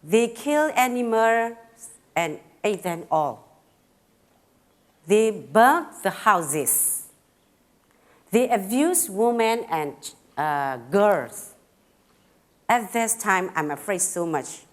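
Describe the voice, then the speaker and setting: A middle-aged woman from Burma with a Karen accent, giving a personal talk in simple, halting phrasing with pauses and fillers, a little nervous. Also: mid-range voice, very animated. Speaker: speaker at a talk.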